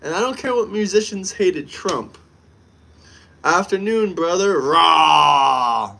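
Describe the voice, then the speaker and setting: A man's voice: a little high, animated, slow, vocal fry, sharp tone. A man from the United States talking casually on a live stream, brash and emphatic, often repeating himself.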